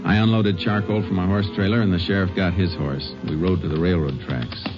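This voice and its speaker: Muffled old-radio sound. Male actor for laconic American lawman, Texas Ranger, clipped and steady delivery, lowish voice. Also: a little fast, lightly acted. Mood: narrating. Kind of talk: 1950s American radio drama acting and narration. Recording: muffled vintage radio recording, loud music.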